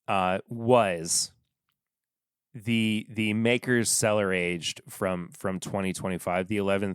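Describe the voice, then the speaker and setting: A man hosting a casual solo podcast from his desk at home, talking in a rambling, halting way that often stops and restarts. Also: low voice, clear, resonant tone, dry recording, clean studio sound. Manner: rambling, halting, self-interrupting. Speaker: podcast host reviewing whiskey.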